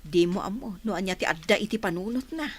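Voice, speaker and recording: A woman's voice: medium pitch, expressive, fast talker, slightly rough. A woman with an Ilocano accent playing a caring, cautious middle-aged mother in a radio drama.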